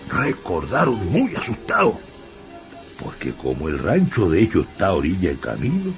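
An actor playing a folksy Chilean country storyteller, telling a spooky radio play tale in a colloquial, suspenseful way. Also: male voice, low voice, wildly swooping pitch, moderate pace, creaky, music in the background, exaggerated voice. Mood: suspenseful, astonished. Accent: rural Chilean. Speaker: radio drama narrator.

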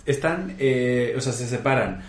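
A man's voice: mid-range voice, measured pace. A roomy, echoing recording of a man with a Castilian accent from the Madrid area. He co-hosts a casual podcast and spins anecdotes with playful, campy emphasis, in a mid-range voice. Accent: Castilian Spanish from Madrid area. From Spain, Madrid region. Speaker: podcast co-host. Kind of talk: casual comedic chat, telling anecdotes. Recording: roomy, echoing indoor sound.

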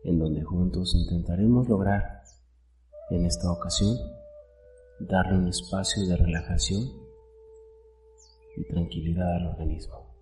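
A man guiding a meditation in a soft, warm, calm and gentle voice, unhurried and full of pauses. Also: deep voice. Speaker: host and guide of a guided meditation show. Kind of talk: guided meditation, soothing narration. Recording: roomy echo, distant mic, soft music.

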